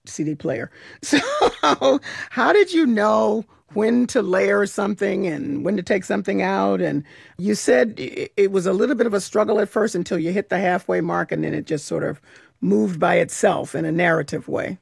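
A woman radio host with a deeply gravelly, husky voice, asking her guests questions in an easy conversational way. The studio sound is dry and close, with no room around her. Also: animated, normal speed, no room sound. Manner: conversational, warmly probing questions with asides. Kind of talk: public radio interview.

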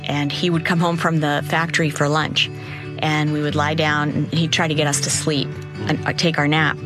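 Female storyteller, 60s, slightly low voice, deliberate personal narration, faint music. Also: little pitch movement. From born in Cuba, raised in New England.

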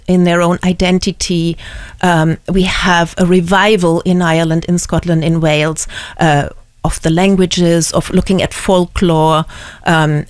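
A woman's voice: fairly low pitch, no room sound. A woman with a German accent, a museum curator who answers interview questions thoughtfully, with many ums and restarts.

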